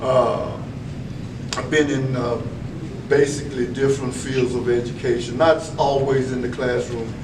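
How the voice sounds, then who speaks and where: A man, a veteran school educator giving measured, formal interview answers with many filler pauses. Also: mid-range voice, steady tone. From Texas. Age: 70s. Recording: echoey room, mic far off, clear background noise.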